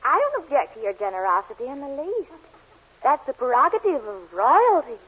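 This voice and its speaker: A woman's voice: high-pitched, sing-song, brisk, fairly bright tone, breathy edge, exaggerated voice. A woman playing a spoiled, gold-digging young society woman, coquettish and insistent, in 1940s radio comedy style. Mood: gushing. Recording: dull, muffled vintage radio recording.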